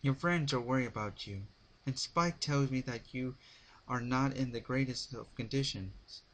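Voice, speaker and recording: A man's voice: medium pitch, moderate pace, a bit bright, lightly acted, poor audio. A man voicing a dignified, caring female pony ruler's formal letter in a dramatic story reading. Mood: grave, concerned.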